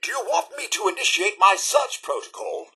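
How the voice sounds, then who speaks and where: A man's voice: high voice, big pitch swings, normal speed, vocal fry, bright, forceful tone, husky, full character voice. A man with a British accent voicing a prim, fussy, loyal robot butler in a video game, theatrical and formally polite. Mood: polite, eager. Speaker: voice actor. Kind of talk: video game companion voice lines.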